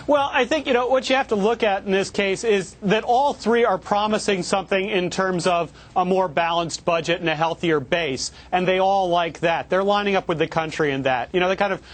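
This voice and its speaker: A man joining a business news panel as a contributor, high-pitched and quick to tease. His talk is joking and animated. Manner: teasing, animated. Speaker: contributor in Silicon Valley.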